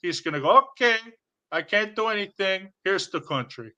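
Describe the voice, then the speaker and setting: A bragging, shady middle-aged businessman, as a man puts him on for a comedy stream. He rambles through his schemes in a Chicago accent, stumbling over fillers. His voice is bright and forceful and sits a little high. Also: animated, moderate pace, clear, resonant tone. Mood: scheming. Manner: rambling, boastful, full of fillers. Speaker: stream co-host.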